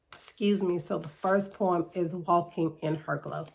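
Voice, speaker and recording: A middle-aged woman and poet, speaking reflectively and reading her poems in a slow, deliberate way with pauses, a little nasal from allergies. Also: on the low side, gravelly. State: slightly nasal from seasonal allergies. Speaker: poet hosting her own segment. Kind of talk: reflective spoken monologue with poetry reading.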